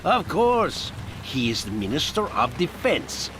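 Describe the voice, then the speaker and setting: Flustered Soviet captain, wildly swinging pitch. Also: male voice, very animated, measured pace. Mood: nervous, protesting. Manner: apologetic, hesitant, protesting. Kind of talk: video game cutscene acting. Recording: clear rain and vehicle noise behind.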